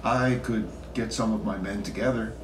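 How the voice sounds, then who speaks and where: A man's voice: on the low side, moderate pace, natural voice. Man playing a villain's lieutenant, echoey room. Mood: measured, conspiratorial. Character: villain's businesslike lieutenant and recruiter. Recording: echoey room, distant mic, faint noise.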